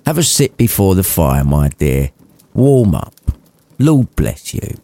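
A man's voice: low-pitched, very animated, slow, creaky, mellow, no room sound, full character voice. Storybook mum, male actor: Victorian, working-class, sweary, blunt, scolding yet fond. Dry sound. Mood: caring.

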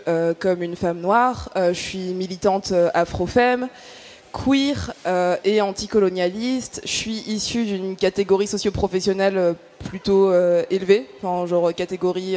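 A woman's voice: fairly low pitch, fairly even intonation, a little slow, a little mellow. A woman activist speaking on a panel, explaining earnestly in a semi-prepared, stop-and-start way.

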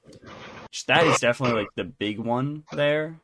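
A man's voice: mid-range voice, normal speed, background noise. A man co-hosting a podcast, chatting casually about entertainment news in a rambling, jokey, self-interrupting way. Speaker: podcast co-host.

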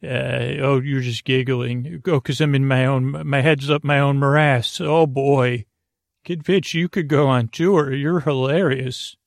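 Male sleep-podcast host: gravelly creak, dry close sound, halting and meandering, gentle delivery. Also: mid-range voice, fairly even intonation, no room sound. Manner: meandering, halting, trailing off and repeating words; gentle, soothing address to the listener. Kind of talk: rambling, improvised bedtime podcast monologue.

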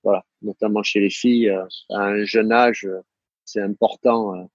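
A middle-aged man from the south of France with a southern French accent, answering interview questions in a halting way, with many fillers and repeated words. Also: fairly low pitch, fairly even intonation, no room sound, muffled recording. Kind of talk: casual interview talk. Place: living in the United States.

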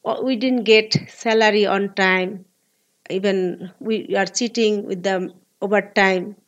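A woman in her forties from Bangladesh with a Bangladeshi accent, speaking earnest, halting English as an interview guest. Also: medium pitch, fairly even intonation, muffled recording. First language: Bengali. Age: 40s. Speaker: guest, labor activist.

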